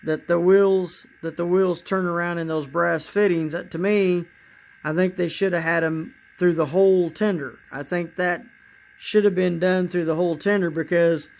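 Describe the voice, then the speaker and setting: A man with a raspy voice demonstrating his model trains in a casual, halting way, with many fillers and repeated words. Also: high-pitched, fairly even intonation. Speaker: model railroad hobbyist.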